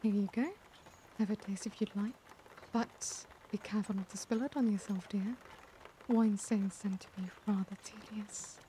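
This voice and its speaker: Elegant vampire lady played by a woman, ASMR roleplay: relaxed dark tone, medium pitch, gentle, caring, formal manners. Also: moderate pace, slight background noise, natural voice, rough recording. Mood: genial, doting. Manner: genteel, gentle, doting, formal wording. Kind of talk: soft-spoken ASMR roleplay.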